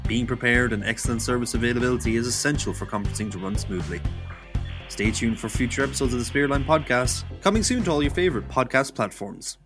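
A man speaks as the host of a podcast, summing up and signing off. His voice has an average pitch for a man. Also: fast talker, over music. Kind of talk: podcast hosting, wrap-up.

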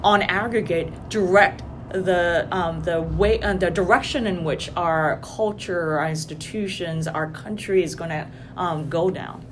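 Woman from Indonesia, lowish voice, reflective co-host, hissy noisy recording. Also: measured pace, hardly any pauses. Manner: thoughtful, many ums and restarts. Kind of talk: casual academic conversation. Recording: noisy background hiss.

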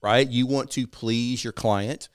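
East Texas man with a smooth voice. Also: mid-range voice, steady tone, slow pace, dry recording, clean studio sound. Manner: hedging, often checks in with "right?". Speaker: guest. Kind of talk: casual interview talk.

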